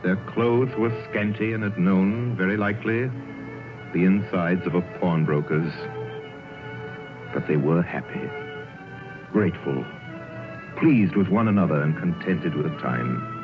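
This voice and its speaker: A man narrates the story in a deep, measured voice. It comes through a tinny 1930s radio broadcast with music under it. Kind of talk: scripted dramatic narration.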